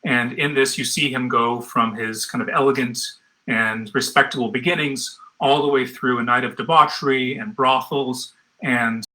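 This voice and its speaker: A man's voice: mid-range voice, steady tone, normal speed, pushed and bright, poor audio. A man speaking as a translator on a discussion panel, thoughtful and hesitant, with many fillers.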